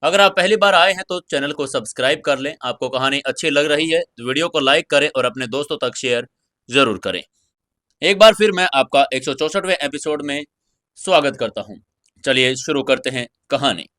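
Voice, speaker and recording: A man's voice: mid-range voice, animated, moderate pace, bright, forceful tone. A man narrating a serialized fantasy audio story as its host, in a scripted dramatic style.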